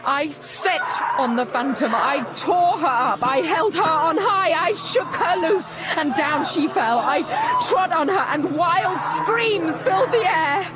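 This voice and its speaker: Slow, high-pitched, haunted young woman. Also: animated, over a noisy crowd, heavy background noise, exaggerated voice. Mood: frantic, triumphant. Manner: slow, hushed, intense, rising to passion. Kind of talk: literary radio drama narration and acting. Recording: music behind the voice.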